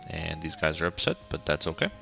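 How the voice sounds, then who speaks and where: A very low-pitched man talks through his city build in an easy, halting way, his voice raspy as he gets over a cold. His tone is bright and forward, and soft music plays behind him. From Colorado. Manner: relaxed, halting, frequent ums and restarts. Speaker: game commentator. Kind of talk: casual gameplay commentary.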